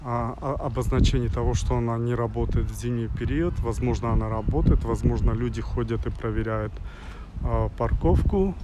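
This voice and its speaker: A man around forty talking as a travel vlogger while walking outdoors, pausing mid-sentence and grumbling frankly. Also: mid-range voice. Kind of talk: walking vlog monologue to camera. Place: outdoors on a resort town street. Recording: windy outdoor street noise, loud.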